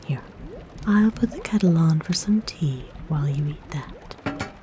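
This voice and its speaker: A woman playing a kind, motherly forest witch in a soft, breathy, gentle whisper for an ASMR roleplay. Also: deep voice, lively intonation, over music. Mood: fussing, caring. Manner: gentle, soothing, coaxing, unhurried. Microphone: close microphone.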